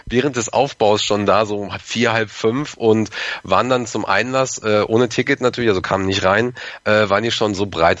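A man with a hoarse voice, chatting casually as a podcast guest and rambling with many fillers. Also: fairly low pitch, a bit bright, no echo, muffled recording. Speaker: podcast guest, fan club member. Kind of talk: casual chat.

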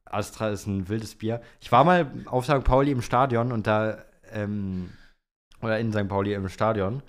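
Low-pitched young man, casual podcast co-host. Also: steady tone, moderate pace. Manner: colloquial, slangy. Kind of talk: casual chat about Formula One.

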